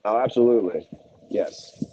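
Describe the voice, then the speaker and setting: A man's voice: mid-range voice, normal speed, dark tone, lo-fi sound. A man talking casually as an interview guest, a singer telling stories in a rambling, slangy, salty way. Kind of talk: casual interview chat, anecdotes.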